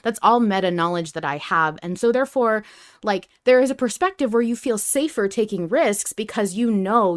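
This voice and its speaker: A woman hosting a podcast, talking casually and emphatically as she deliberates aloud. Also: mid-range voice. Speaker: podcast host. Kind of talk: casual fan discussion.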